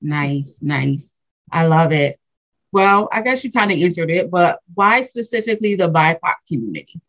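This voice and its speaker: A woman's voice: on the low side, expressive, normal speed, fairly bright tone. A woman hosting a podcast, chatting in an upbeat, friendly way with her guest and laughing easily. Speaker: podcast host. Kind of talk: casual interview talk.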